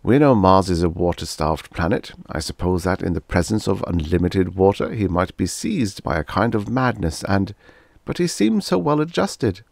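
Man voicing an excitable, pompous professor, widely swooping pitch. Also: normal speed, gravelly, no echo, full character voice. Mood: puzzled. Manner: exclamatory, wondering, pompous. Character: excitable, pompous middle-aged male professor, rhapsodizing. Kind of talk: storybook character reading.

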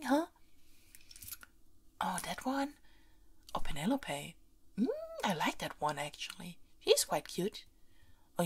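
A woman playing a haughty, bossy but playful young princess in an ASMR roleplay, soft and breathy, often slipping into whispers. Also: fairly low pitch, exaggerated voice. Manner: imperious, teasing, repeats phrases insistently.

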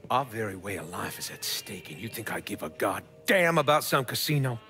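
A man's voice: on the low side, big pitch swings, a little fast, pushed and bright. An actor playing a haughty, hot-tempered, bigoted middle-aged Southern man in a video game, with an emphatic, oratorical delivery. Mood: indignant, angry. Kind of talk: dramatic video game acting. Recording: music behind the voice.

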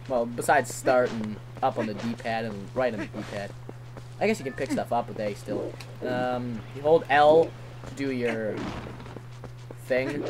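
A man giving casual, rambling gameplay commentary, self-deprecating and given to swearing. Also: fairly high pitch. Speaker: let's play commentator. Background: game music.

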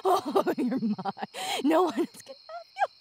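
A sadistic, possessive demon woman for an ASMR roleplay, stalks through her words at an extremely slow pace. Her delivery is theatrical and swings widely in pitch, moving between whispers and menacing outbursts. A faint noise sits behind the voice. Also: huge pitch swings, exaggerated voice. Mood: possessive rage.

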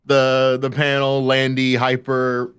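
Male host, mid-pitched and crisp, casual, halting delivery with repeated phrases. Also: completely flat delivery, fairly bright tone. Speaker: panel host. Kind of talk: casual panel hosting.

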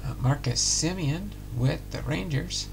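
A middle-aged man calmly calling out each trading card as he opens packs at home. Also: on the high side, vocal fry, background noise. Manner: unhurried, announcing each card plainly. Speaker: card-breaking channel host. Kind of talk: casual pack-opening commentary. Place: home room with card displays.

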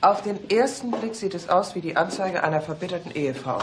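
A dry, higher-voiced office sleuth. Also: male voice, fairly quick, background noise. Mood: dry reproach. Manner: dry, matter-of-fact. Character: shrewd, outspoken police office colleague. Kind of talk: German radio play acting.

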